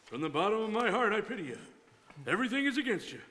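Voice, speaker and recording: A man's voice: sits high, sing-song, quick pace, sharp tone, heavily acted. A man playing an obstinate, blustering sea captain in a theatrical, exclamatory radio-drama style. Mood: subdued, frank. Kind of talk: stage radio-drama acting. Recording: hall reverb, community studio performance.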